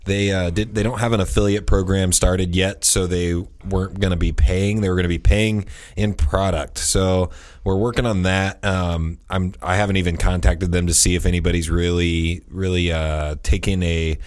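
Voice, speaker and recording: A man's voice: low-pitched, little pitch movement, moderate pace, a little mellow. A thirty-year-old man, a podcast host, talking in a meandering, casual way with many restarts. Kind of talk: casual chat.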